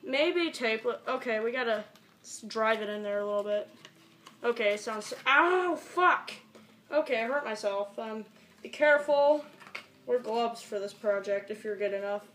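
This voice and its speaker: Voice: medium pitch, measured pace, fairly bright tone. A young teenager rambling casually through an improvised tutorial vlog, muttering and swearing, recorded in a small bedroom on a camera mic. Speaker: amateur video maker. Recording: echoey, noisy amateur home recording.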